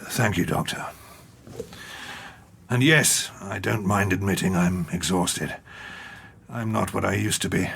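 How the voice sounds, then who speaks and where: Middle-aged police colonel, very gravelly, husky, gruff, deferential, radio drama. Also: male voice, low voice, unhurried, pauses often, fairly bright tone, exaggerated voice. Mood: weary. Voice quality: gravelly, raspy. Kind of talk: dramatic audio drama acting.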